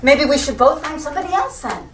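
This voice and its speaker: A woman's voice: sits high, animated, fast. A woman playing a dissatisfied wife dryly needling her husband in a stage scene. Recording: roomy, distant mic, faint noise.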